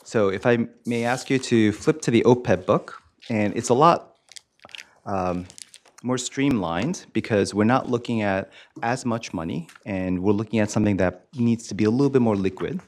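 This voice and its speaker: A man's voice: on the low side, moderate pace, darkish tone. A man presenting investment figures at a committee meeting, explaining them informally and in plain terms.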